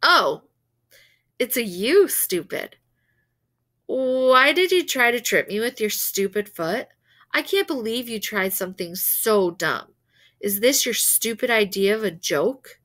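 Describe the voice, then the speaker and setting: A snooty, indignant schoolgirl from a storybook, acted out by a woman reader. The voice is bright and fairly high, with melodramatic scorn and accusation. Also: lively intonation, slow. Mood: scornful, indignant.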